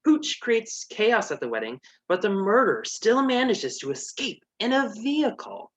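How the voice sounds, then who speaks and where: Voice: medium pitch, big pitch swings, normal speed. A comedian narrating an abridged story with sassy, mock-serious commentary.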